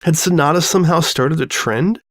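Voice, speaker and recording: A man's voice: a little high, a little fast, a bit bright, no room sound, studio quality. A man reading a scripted comic story as narrator, telling it expressively. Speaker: fan fiction narrator.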